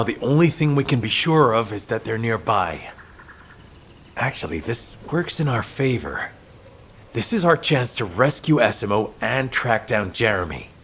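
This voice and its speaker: An actor playing a tense, commanding military mission officer, earnest and authoritative in scripted game dialogue. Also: male voice, medium pitch, a bit bright, husky. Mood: determined. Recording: music behind the voice.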